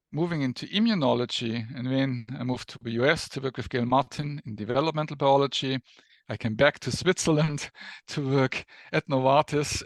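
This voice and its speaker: A man's voice: mid-range voice, no room sound. A mature man from Germany with a German accent, speaking as an academic guest explaining things in an interview. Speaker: guest professor, neuroscience researcher.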